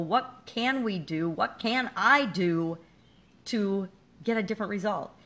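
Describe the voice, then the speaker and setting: A woman's voice: medium pitch, a bit bright. A middle-aged woman giving a candid, self-deprecating motivational talk to her viewers. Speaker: business coach and vlogger. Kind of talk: casual motivational talk to camera.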